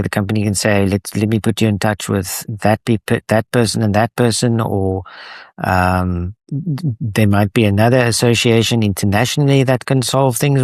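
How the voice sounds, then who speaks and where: A man with a South African accent and Afrikaans as his mother tongue talks in an interview. His voice sits low and sounds close and dry. He feels his way through sentences, stopping and restarting often. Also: no room sound. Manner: halting, many restarts and fillers. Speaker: guest, association co-founder. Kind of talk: conversational interview answers.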